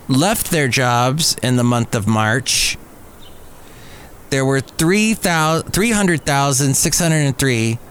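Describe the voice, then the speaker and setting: A man's voice: medium pitch, a bit bright. An American man hosting a podcast in a casual, rambling monologue, with playful asides and self-deprecating remarks. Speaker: podcast host. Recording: noticeable background noise.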